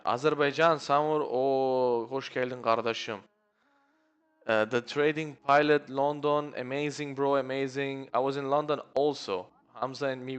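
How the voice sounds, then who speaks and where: Male stream host with a smooth, resonant voice at mid pitch. Easygoing and chatty, greets viewers by name with faint music underneath. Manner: reads viewer comments aloud and greets them by name; relaxed, chatty, says "bro" often. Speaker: live-stream day trading host. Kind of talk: casual live-stream chat.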